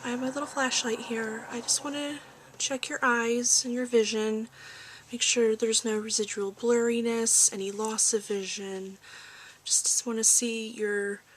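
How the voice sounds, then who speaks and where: A woman doing a soft-spoken ASMR roleplay as a calm research doctor, gentle, reassuring and a little hesitant, in a home recording. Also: fairly high pitch. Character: calm corporate research doctor giving a checkup. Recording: faint background noise, home ASMR recording.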